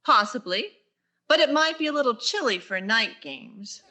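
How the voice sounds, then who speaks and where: An actress playing a wry English teacher with dry, sardonic delivery in 1940s radio sitcom style. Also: female voice, a little high, animated, normal speed, pushed and bright.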